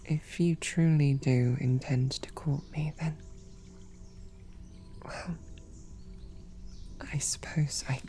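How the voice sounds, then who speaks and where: A woman playing a snarky, devoted female knight, stammering and flustered, often dropping to soft whispers. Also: low voice, steady tone, slow, long pauses, soft background music. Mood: tender. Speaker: audio drama performer. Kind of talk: romantic audio roleplay.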